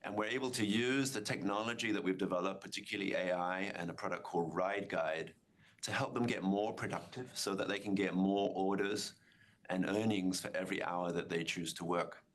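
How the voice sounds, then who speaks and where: A man speaking as a company executive, giving measured, formal answers on an earnings call. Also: sits low, fairly bright tone.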